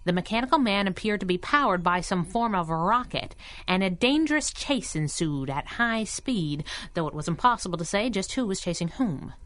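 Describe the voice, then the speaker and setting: A woman acts a young wisecracking superhero sidekick in a retro radio serial. Her mid-pitched voice is brash, sassy and very animated. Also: moderate pace, natural voice. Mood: reading aloud dramatically. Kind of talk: 1930s-style radio drama acting.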